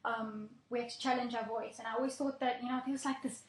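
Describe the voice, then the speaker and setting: A woman's voice: fairly high pitch, fairly even intonation, quick pace, a little hiss or hum. Guest singer, young woman, earnest storyteller with ums, echoey room. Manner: earnest, storytelling, filler-heavy. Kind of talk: casual interview talk.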